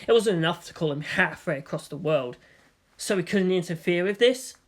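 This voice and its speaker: A woman's voice: fairly low pitch, lively intonation, moderate pace. A woman reading a story aloud as dramatic scripted narration. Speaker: fan fiction reader.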